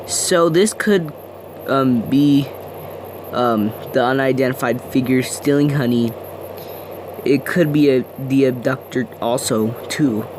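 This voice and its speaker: A man playing a local TV news anchor, giving a halting, unsure breaking news report. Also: medium pitch, noisy background, lightly acted.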